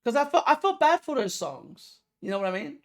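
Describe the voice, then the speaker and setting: Animated, emphatic reaction host with lively pitch. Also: brisk. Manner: emphatic, repetitive, full of rhetorical questions; informal, slangy. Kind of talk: casual music commentary.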